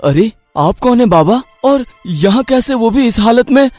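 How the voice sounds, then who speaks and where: An actor playing a poor, kind-hearted village husband with earnest, expressive delivery in a moral cartoon. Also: male voice, very high voice, a little slow, no echo, soft background music. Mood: concerned. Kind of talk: Hindi moral cartoon dubbing.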